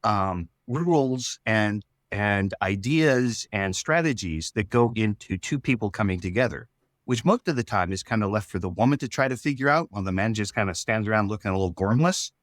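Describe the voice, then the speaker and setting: A man speaking as a writer in a casual interview, explaining his ideas in a thoughtful, halting way. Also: fairly low pitch, lively intonation, no room sound, clean studio sound. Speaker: writer and storyteller.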